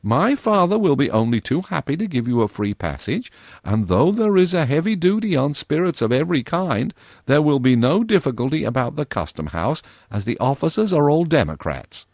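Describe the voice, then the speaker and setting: A sweetly stern young girl with a lifted, lively voice, lecturing with earnest scolding as a man reads her part. The sound is dry and close, with no room around it. She is storybook-prim and sure of her own rightness. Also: a little high, normal speed, no echo, pitched above the performer's own voice. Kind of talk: storybook reading.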